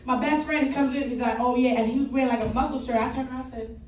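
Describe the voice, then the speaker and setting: A woman's voice: sits high, fairly even intonation, very fast, slight background noise. A female stand-up comedian telling brash, profane stories about her relationship on a comedy club stage. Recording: echoey club, distant mic, loud crowd.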